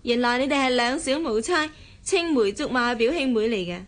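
A woman's voice: on the high side, expressive, fairly quick, close to an everyday voice. A woman with a Hong Kong Cantonese accent acting a jealous, coquettish, childlike young wife in a radio drama, whiny and sulking. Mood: probing.

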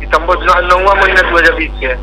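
A man's voice: high voice, steady tone, fast, pushed and bright. A man with a Bihari accent on an informal official phone call as an office clerk, questioning insistently. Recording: noisy, humming recorded phone call.

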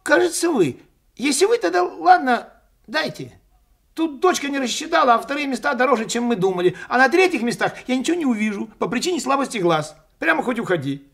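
A man voicing an indignant, pushy old woman in a querulous, shrill manner for a storybook reading. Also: sing-song, brisk, creaky, fairly bright tone, heavily acted. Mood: wheedling.

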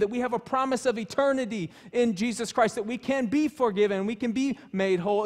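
A man in his thirties preaching a sermon as pastor, emphatic and passionate, in a small church. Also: high voice. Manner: passionate, emphatic, gesturing freely while preaching. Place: small church stage with wood-panel walls.

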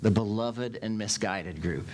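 A man in his forties, a pastor preaching a sermon in a church sanctuary on a headset mic, animated and gesturing as he speaks. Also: lowish voice, a little slow, slight background noise.

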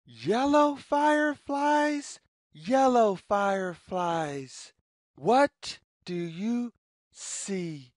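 A woman reading a children's picture book aloud as a storyteller, deliberately and in a sing-song way, pausing between phrases. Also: mid-range voice, darkish tone, no room sound, studio quality.

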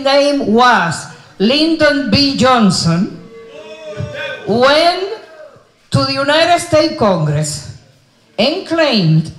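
Emphatic woman at a rally. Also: fairly high pitch. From Dominican Republic. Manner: slow, emphatic, repeating phrases for force. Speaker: rally speaker. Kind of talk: impassioned outdoor rally speech. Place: outdoor stage in front of a mural. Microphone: handheld microphone. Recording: echoey outdoor PA sound.

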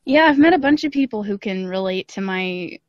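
A woman's voice: mid-range voice, animated, fairly quick, no room sound. Chatty woman on a dry, dull call. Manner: chatty and informal, often laughing lightly. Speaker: podcast guest, audio drama creator. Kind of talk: casual interview chat. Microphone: remote call.

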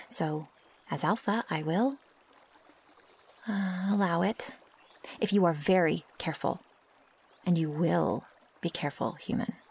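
Werewolf girl played by a woman, ASMR roleplay, intimate half-whisper, breathy, vocal fry, wary, possessive, halting formal phrasing. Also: fairly low pitch, a little mellow, exaggerated voice. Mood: wary, reluctant. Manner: halting, stiffly formal phrasing without contractions. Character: wary, possessive young female werewolf, tender yet menacing.

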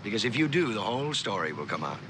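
A man's voice: lowish voice, sing-song, quick pace. A man playing a smug blackmailing spy in an old suspense drama, mocking and sardonic with theatrical emphasis. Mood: mocking, confident. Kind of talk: mid-century American TV suspense drama acting. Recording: noisy old TV soundtrack, outdoor engine noise.

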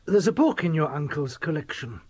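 An actor playing a condescending, insistent doctor in a radio drama, formal and insinuating, with a husky voice. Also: male voice, a little high, sing-song, fairly quick. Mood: coolly insistent. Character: smooth-talking, insistent, condescending doctor, a sinister gentleman.

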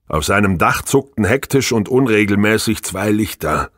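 Guy narrating an audiobook, lowish voice, really dry studio-type sound. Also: animated, moderate pace, fairly bright tone, dry recording. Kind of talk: scripted novel narration.

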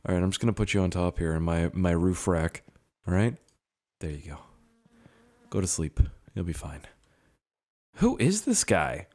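Young male gaming host, voice hoarse and a bit low, rambling and swearing, self-deprecating. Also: sing-song, normal speed, pauses often, a little mellow. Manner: rambling, profane, self-mocking. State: hoarse, strained voice. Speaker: gaming YouTuber. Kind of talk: casual let's-play commentary.

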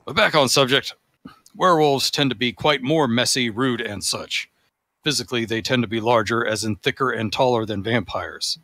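A man's voice: medium pitch, moderate pace, pushed and bright, dry recording. A man voicing a haughty, pedantic vampire forum poster, reading formally and pompously. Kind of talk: dramatic reading of forum posts.

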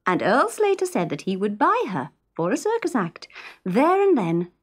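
A woman's voice: a little high, wildly swooping pitch, normal speed, noisy background. A woman voicing a cheerful, boastful working-class father with a Northern English accent in a storybook. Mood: proud, persuasive. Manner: hearty, cheerful.

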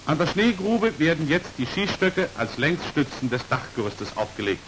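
A man's voice: fairly high pitch, moderate pace. A man narrating a 1940s instructional film in a formal, precise, matter-of-fact voice, on an old hissy soundtrack. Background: steady hiss.

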